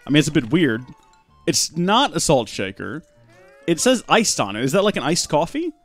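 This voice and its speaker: Animated rambling man streaming a game. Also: on the high side, moderate pace, faint music behind. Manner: rambling deadpan joking, animated. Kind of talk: live gameplay commentary.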